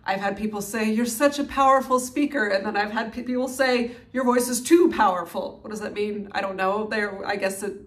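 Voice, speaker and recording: A young woman talking casually to the camera about her channel, recorded on her phone. She has a loud, projecting voice and moves between calm and lively stretches. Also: mid-range voice, brisk, few pauses. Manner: loud, animated, strong projection. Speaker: minimalist YouTuber. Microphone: phone mic.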